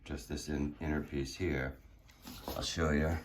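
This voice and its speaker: A hoarse man casually walking through his build in a garage workshop, explaining with many fillers and restarts. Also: rumbling low, level tone, measured pace, noisy background. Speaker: car builder showing his project.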